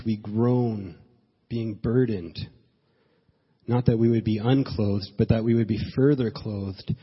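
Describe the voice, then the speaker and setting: A male pastor preaching while sick with a cold, sounding nasal and stuffed up, speaking earnestly with slow emphasis and halting restarts. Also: mid-range voice, slightly rough. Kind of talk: sermon. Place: church sanctuary. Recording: dull sound with long hall reverb.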